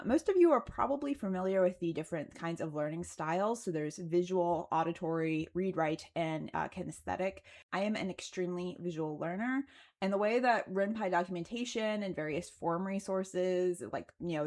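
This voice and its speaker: A woman's voice: fairly low pitch, a little mellow. A woman narrating a casual explanatory video as its creator, chatty and conversational, with a wry, self-deprecating way of talking. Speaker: video creator narrating her game development progress.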